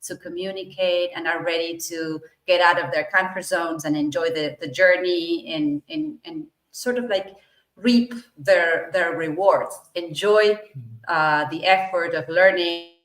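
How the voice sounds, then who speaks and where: A woman's voice: on the low side, a little slow, a bit bright, reverberant, rough recording. A middle-aged woman from Argentina, an English teacher, answering interview questions fluently and with enthusiasm.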